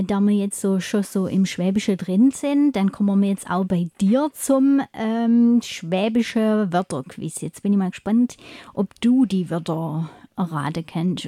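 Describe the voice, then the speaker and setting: A woman's voice: medium pitch, unhurried, warm tone, no echo, clean studio sound. A woman with a Swabian accent hosting a live radio interview in a friendly way and leading a quiz. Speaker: radio host.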